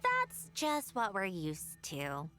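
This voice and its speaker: Slow, sardonic machine woman. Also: medium pitch, huge pitch swings, pushed and bright, full character voice. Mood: wistful. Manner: dry, sardonic. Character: irritable, wistful machine woman hosting tea parties. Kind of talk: scripted game voice acting. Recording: music behind the voice.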